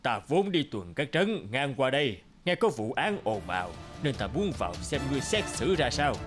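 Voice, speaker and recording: A man playing a stern but fair royal judge in a cartoon folktale, speaking with deliberate authority. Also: mid-range voice, very animated, soft background music, lightly acted. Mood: composed, stern. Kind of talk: animated folktale acting.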